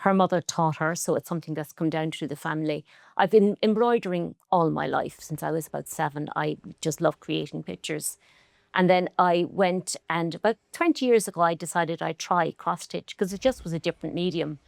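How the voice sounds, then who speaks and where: A woman's voice: sits low, brisk, gravelly, darkish tone, dry recording, studio quality. A middle-aged woman with a Cork Irish accent, a textile artist talking earnestly and reflectively in an interview. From Ireland. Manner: earnest, reflective, speaks with pride about heritage. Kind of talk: relaxed interview conversation.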